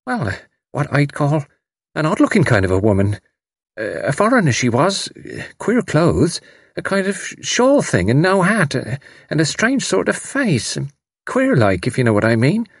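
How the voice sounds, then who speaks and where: A man's voice: fairly high pitch, huge pitch swings, moderate pace, husky, dry recording, studio quality, voice pushed up, heavily acted. A man voicing a gossipy, disapproving young English servant girl in an audiobook, colloquial, rambling and hesitant. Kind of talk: audiobook character voice.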